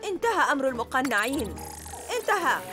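A woman's voice: high-pitched, sing-song, a little fast. A woman voicing a gloating boy villain, a young mad inventor in a cartoon, boastful and cackling. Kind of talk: animated series dub. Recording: music under dialogue.

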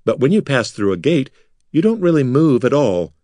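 A man's voice: medium pitch, very animated, normal speed, mellow, dry recording, pitched above the performer's own voice, close to an everyday voice. Man voicing a smug, dry-witted female wizard. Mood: explaining. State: rasping after being choked.

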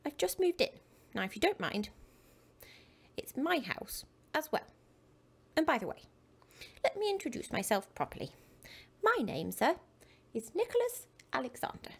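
A woman's voice: high voice, sing-song, normal speed, vocal fry, long pauses, pitched above the performer's own voice, exaggerated voice. A woman voicing a formal, overly polite little male creature who lives in a toy chest, in a bedtime storybook. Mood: prim. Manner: overly polite, keeps saying sir.

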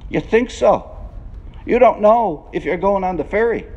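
Man reading as a blunt, high-pitched lovelorn biker. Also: lively intonation, measured pace, dull audio, full character voice. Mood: challenging. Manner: blunt, colloquial, emphatic. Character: tall lanky lovelorn biker, frank and questioning.

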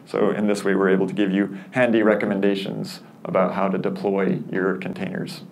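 A man in his thirties gives an upbeat, friendly conference talk on a headset mic, his voice sitting a bit low. He slips in self-deprecating asides while walking a seated audience through a product demo. A faint rumble runs under the room. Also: little pitch movement, a little fast, a little mellow. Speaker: conference speaker.

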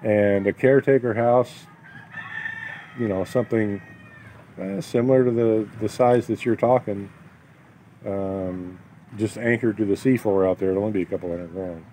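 A middle-aged or older man with a fairly low voice chats casually. He rambles along in a folksy, storytelling way. The recording is made outdoors with some ambient noise behind him. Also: normal speed, pauses often, mellow. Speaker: vlogger, expatriate farmer. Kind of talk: casual chat. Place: outdoors at a waterside building site.

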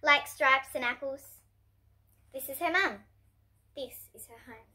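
A girl of about ten to twelve reading a picture book aloud to young children in an expressive, presentational way. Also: high-pitched, slow. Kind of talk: storybook read-aloud for children. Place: indoors at home, in front of bookshelves.

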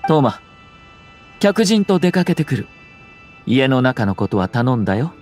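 A man's voice: mid-range voice, very animated, a little slow, a little mellow, soft background music. A man playing a young noble clan lord in a video game, composed and courteous, with polite, formal phrasing and a slyly wry streak. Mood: calm authority. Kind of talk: Japanese game voice acting.

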